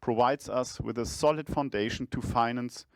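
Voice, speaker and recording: A man speaking as a chief financial officer, delivering a scripted earnings presentation in a measured, formal manner. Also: medium pitch, no room sound.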